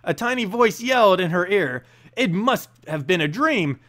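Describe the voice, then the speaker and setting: A man narrating a fantasy story aloud in an expressive, dramatic storybook style. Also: sits high, moderate pace. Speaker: narrator and channel host.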